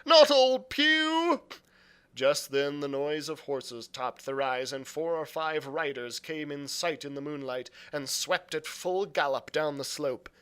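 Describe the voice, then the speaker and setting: Bright-voiced man reading a story. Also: on the high side, fairly even intonation, unhurried, few pauses, clean tone. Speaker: librarian reading a novel aloud. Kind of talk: dramatic storybook narration.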